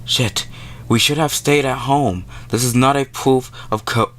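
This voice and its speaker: A man's voice: mid-range voice, steady tone, measured pace, fairly bright tone, a little hiss or hum. A man hosting a gaming video, reading visual novel text aloud and adding wry, informal commentary.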